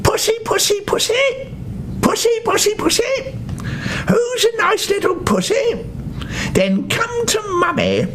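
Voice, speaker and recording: رجل يؤدي ساحرة مشاكسة عنيدة بنبرة عالية. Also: male voice, very high voice, wildly swooping pitch, measured pace, voice pushed up, heavily acted. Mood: coaxing. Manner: yelling, hissing, growling. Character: grumpy, stubborn green-eyed witch, scheming and cackling.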